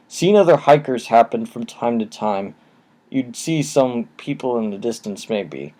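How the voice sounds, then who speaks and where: A man narrating creepy first-person tales at a mid-range pitch. He reads in a steady, low-key way, and a cheap microphone colours the sound. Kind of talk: reading horror stories aloud.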